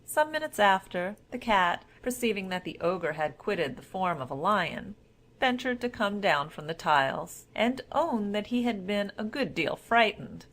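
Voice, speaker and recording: A woman's voice: medium pitch, sing-song, unhurried, a bit bright. Formal woman reading a fairy tale. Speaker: storyteller. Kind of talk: scripted fairy-tale narration.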